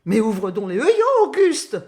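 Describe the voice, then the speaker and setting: A woman's voice: medium pitch, very animated, measured pace, warm tone. A woman playing a devoted, fierce middle-aged peasant mother in an audio drama. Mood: furious. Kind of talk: French audio drama acting.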